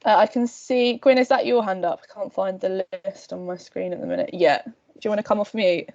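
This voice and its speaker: A woman's voice: mid-range voice, brisk, gravelly. A woman presenting her team's next steps and taking questions on a video call, speaking casually with many ums. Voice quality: relaxed, filler-heavy delivery with many ums. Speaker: project team member hosting a video-call show and tell. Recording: dull lo-fi video call audio.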